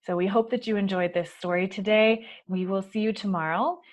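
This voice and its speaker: A woman hosting a children's storytime, reading and explaining in a soft-spoken, reassuring, measured way. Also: medium pitch, fairly even intonation. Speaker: children's library storytime host. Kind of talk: gentle picture-book reading and explaining to children.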